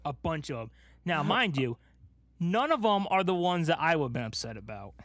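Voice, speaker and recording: Man, higher-pitched voice, podcast co-host, casual movie chat, animated storyteller, dry close sound. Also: fairly quick, dry recording.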